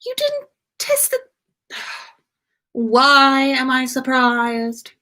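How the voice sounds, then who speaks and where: A woman's voice: high-pitched, very animated, very slow, bright, forceful tone, voice pushed up, exaggerated voice. A woman voicing a haughty, irritable young wizard man in a story, snooty and exasperated. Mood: indignant, exasperated.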